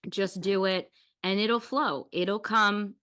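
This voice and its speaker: A woman's voice: mid-range voice, fairly even intonation, slow, pushed and bright, smooth. A woman hosting a casual podcast interview, talking in an informal, emphatic and encouraging way. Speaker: podcast host.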